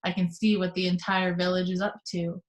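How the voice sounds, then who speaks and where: A woman reading the action descriptions of a screenplay in a steady, matter-of-fact way on a video call. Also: fairly low pitch, a little fast.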